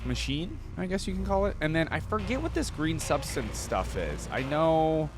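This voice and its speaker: A man giving casual reaction commentary, thinking aloud in an animated, exclamatory way. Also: fairly high pitch, normal speed. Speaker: reaction video host. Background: music.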